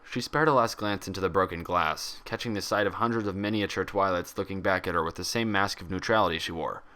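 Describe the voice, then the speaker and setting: A man's voice: low-pitched, quick pace, pushed and bright. A man reading a story aloud as narrator, in a scripted, dramatic style. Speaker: fan fiction reader and narrator.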